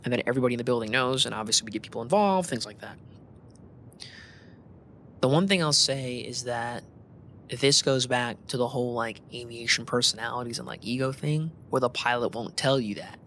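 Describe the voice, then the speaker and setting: Bright-voiced man telling work stories casually. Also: medium pitch, fairly quick, a little hiss or hum. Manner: animated, informal, uses slang and quoted dialogue. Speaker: air traffic controller being interviewed. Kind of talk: casual conversational storytelling, acting out radio exchanges.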